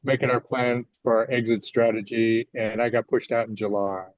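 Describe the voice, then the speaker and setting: A man in his sixties with an American accent talks as a guest author in an interview. His delivery is halting and conversational. The sound is close and dry but a little dull and lo-fi. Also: medium pitch, level tone, no room sound. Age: 60s. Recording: slightly dull, lo-fi audio.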